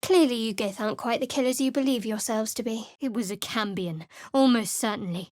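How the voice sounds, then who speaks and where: A guarded, sardonic young cleric with a secret, voiced by a woman in a video game, her voice a touch higher than most women's. She delivers every line dry and cutting, and the studio sound is close and completely dead. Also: brisk, darkish tone, dry recording, lightly acted. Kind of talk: video game character dialogue. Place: studio voice booth.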